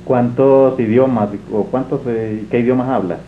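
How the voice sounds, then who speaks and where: A man's voice: mid-range voice, fairly even intonation, normal speed. A man asking interview questions in a polite, formal way. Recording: roomy, narrow lo-fi sound with hum.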